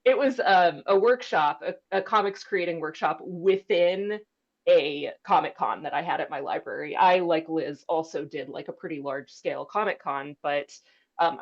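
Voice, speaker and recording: A woman's voice: low voice, normal speed, muffled recording. A woman librarian speaking informally on an online panel, presenting in a chatty way with conversational asides. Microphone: video call.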